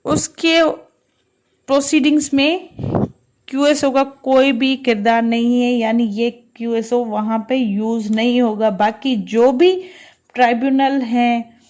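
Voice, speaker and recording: A woman lecturing in a halting, deliberate way, alternating between reading and explaining, mixing in English terms. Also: a little high, fairly even intonation, darkish tone. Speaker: law lecturer.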